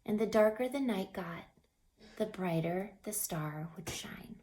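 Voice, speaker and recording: A woman reading a children's story aloud with dramatic expression, stretching words and dropping into hushed passages. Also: medium pitch, animated, a little slow. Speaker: storybook reader.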